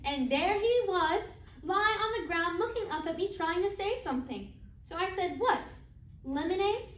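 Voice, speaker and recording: A woman acts out a naive, chatty young wife in a stage monologue, high-pitched and childlike in her detailed storytelling. The room echoes and she stands well back from the mic. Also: lively intonation, moderate pace, a bit bright. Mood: bright, insistent. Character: naive Southern wife, oddly matter-of-fact about shooting her husband. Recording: roomy, distant mic, faint noise.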